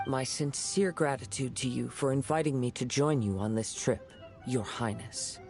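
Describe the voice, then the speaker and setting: A man voicing a calm, courteous young wandering swordsman in an anime game, measured and polite. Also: mid-range voice, creaky, soft background music, natural voice.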